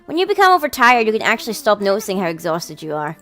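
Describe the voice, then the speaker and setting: A woman's voice: mid-range voice, very animated, quick pace, a bit bright. A woman voicing a composed, teasing younger sister who dotes on her brother, prim and sweetly scolding. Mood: explaining.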